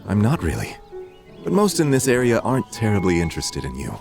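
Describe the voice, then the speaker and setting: Gentle meadow spirit for an audio drama, shy and formal, lower voice with lively melody. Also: male voice, a little fast, gravelly, faint music behind, full character voice. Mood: earnest. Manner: soft, formal, tentative.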